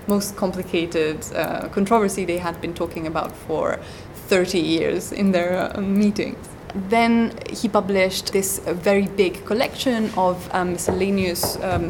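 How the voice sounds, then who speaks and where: A woman guest on an academic interview, explaining at length and pausing often with ums and uhs. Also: on the low side, a little hiss or hum. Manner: hesitant, many ums and uhs. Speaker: guest scholar.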